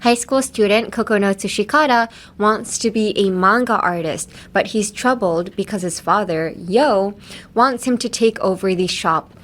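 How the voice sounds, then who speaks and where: A woman's voice: medium pitch, moderate pace. A woman hosting a casual language-lesson podcast, talking in a chatty, rambling way with tangents. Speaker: podcast host teaching phrases.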